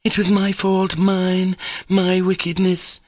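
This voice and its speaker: A man's voice: sits high, monotone, slow, a little mellow, no echo, clean studio sound, pitched above the performer's own voice. A man voicing Grushenka, a distraught young woman crying out her guilt. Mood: anguished. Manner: crying, heart-rending.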